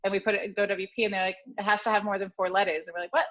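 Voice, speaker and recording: A woman's voice: mid-range voice, steady tone, very fast, fairly bright tone, a little hiss or hum. An American woman in her thirties from Ohio, a chatty interview guest who laughs often and trips over restarts and fillers. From Ohio, USA. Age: 30s. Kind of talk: casual chat. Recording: dull lo-fi audio.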